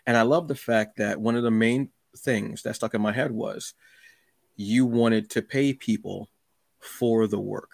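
A man hosting a podcast interview, chatting informally in halting phrases with repeats. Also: fairly low pitch, steady tone, gravelly, no room sound, studio quality. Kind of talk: casual chat.

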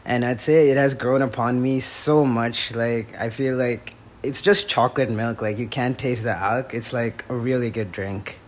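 A man's voice: mid-range voice, fairly even intonation, normal speed, a little hiss or hum. A young man as a podcast guest in casual chat, talking hesitantly with restarts and "like" fillers. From born in the US, raised in India. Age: young adult.

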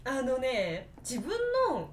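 Female podcast co-host, high animated voice, self-deprecating and halting, noisy rumbly recording. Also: echoey room. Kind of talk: casual group chat.

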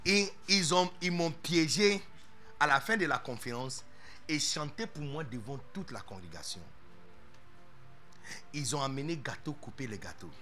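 A man preaching with a high, bright voice that leaps up and down across a wide range. He is animated and playful, tossing in English interjections while addressing a congregation. Faint music sits behind him. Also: a little slow, pauses often. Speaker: pastor. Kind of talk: sermon.

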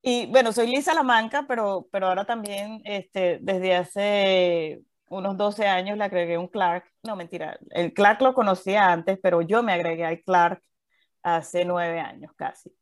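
A woman's voice: medium pitch, fairly even intonation, unhurried, no room sound. A Venezuelan woman in her thirties co-hosting a podcast, chatting casually with many fillers and restarts. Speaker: podcast co-host.

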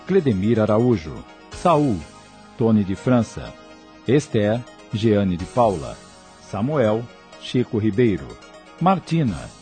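Low-pitched Brazilian male radio announcer. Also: a little mellow. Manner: formal, clearly phrased. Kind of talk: scripted credits announcement.

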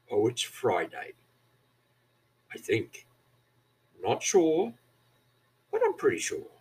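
A man's voice: mid-range voice, slightly rough, low-quality recording. A man in his seventies from New Zealand with a Kiwi accent, rambling through a wry, self-mocking monologue. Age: 70s. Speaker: vlogger. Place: aged care facility.

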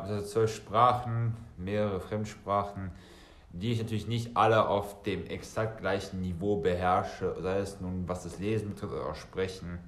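Low-voiced man podcasting in an echoey room. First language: bilingual German and Serbian. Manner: addresses listeners directly, digresses and rephrases. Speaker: podcast host. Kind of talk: solo podcast talk, conversational.

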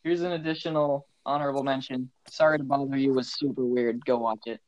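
A man's voice: medium pitch, fairly even intonation, a little fast, no echo, muffled recording. A man co-hosting a casual podcast, speaking in a laid-back way with short replies. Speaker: podcast co-host. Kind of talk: casual chat.

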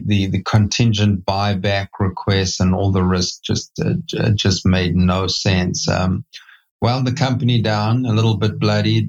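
A middle-aged man from South Africa with a South African accent, a company CEO telling his story as a podcast guest, reflective and halting with many restarts. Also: sits low, vocal fry, highs cut off. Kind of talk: podcast interview.

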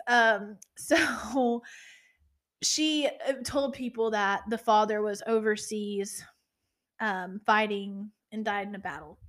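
Chatty young woman, higher voice. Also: a bit bright. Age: 20s. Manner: chatty, swears, laughs often, ranting asides. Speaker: podcast co-host. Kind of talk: casual true-crime chat.

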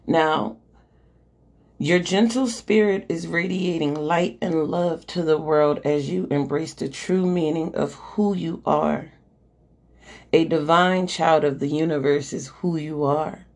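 Woman, hoarse, gravelly, slow-paced, casual podcast host, oracle card reading, slangy. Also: low voice, a little mellow. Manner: chatty and slangy, swears, keeps asking "okay?" while reading card texts aloud. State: losing her voice, hoarse.

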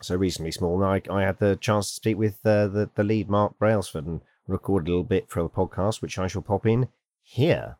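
A man's voice: low-pitched, a little fast, a little mellow, dry recording, studio quality. A man, co-hosting a podcast in easygoing, rambling chat. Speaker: podcast co-host. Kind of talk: casual chat.

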